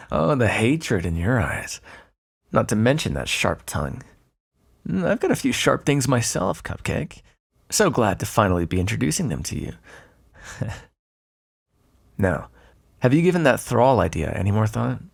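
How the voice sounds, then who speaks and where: A man playing a smug, predatory vampire in an ASMR roleplay, taunting and flirtatious, with a gravelly, breathy voice. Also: mid-range voice, full character voice. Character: predatory, teasing male vampire, smug and seductive.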